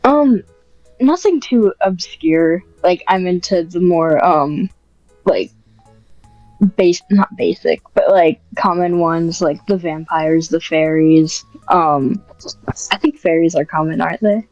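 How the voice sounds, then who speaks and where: A woman's voice: fairly low pitch, takes it slowly, a little mellow, dry recording, highs cut off, soft background music. A woman podcast guest chatting casually in a modest, informal and hesitant way. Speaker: podcast guest, script writer. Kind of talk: casual interview chat.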